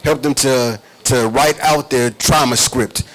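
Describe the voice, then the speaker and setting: A man's voice: medium pitch, steady tone, a little slow, a bit bright. A man giving an explanatory interview answer as an author and therapist.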